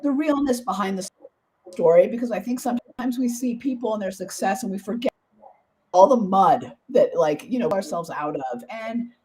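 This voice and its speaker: Hoarse, bubbly woman podcast host. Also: medium pitch, expressive, moderate pace, a little mellow. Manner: bubbly, enthusiastic, chatty. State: hoarse, voice partly gone. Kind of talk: podcast interview.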